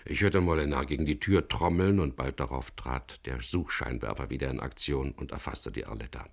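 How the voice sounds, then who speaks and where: An actor playing a tough, wry male boat skipper who narrates a radio crime drama in a measured, laconic way. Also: extremely low pitch, vocal fry, full character voice. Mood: tense, matter-of-fact. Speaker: narrator and lead character.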